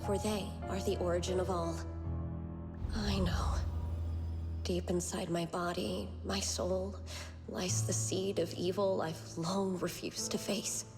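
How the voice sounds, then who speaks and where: A woman's voice: fairly low pitch, little pitch movement, takes it slowly. An actress playing an earnest, solemn young woman in a dramatic game style. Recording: game music under the voice.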